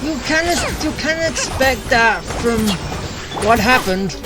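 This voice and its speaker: A woman giving casual gameplay commentary, with halting, muttered reactions. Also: high-pitched, expressive. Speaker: gamer. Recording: loud game music over the voice.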